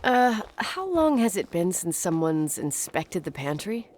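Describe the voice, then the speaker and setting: A woman's voice: lowish voice, sing-song, unhurried, darkish tone, slight background noise. An actress playing a guarded, dry-witted young woman in a naturalistic video game performance. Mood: dry, weary.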